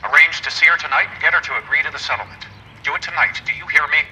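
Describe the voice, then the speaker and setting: An actor playing an evasive, bullying American insurance executive, curt and commanding, in a period noir video game. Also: male voice, on the high side, rapid-fire, bright, forceful tone, a little hiss or hum, poor audio. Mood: stern, commanding.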